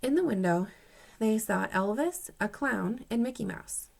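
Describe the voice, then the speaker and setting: Female storyteller, primary teacher type, expressive read-aloud for young children. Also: fairly high pitch, gravelly. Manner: expressive, acts out dialogue, pauses to comment to pupils. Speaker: teacher reading aloud to third graders.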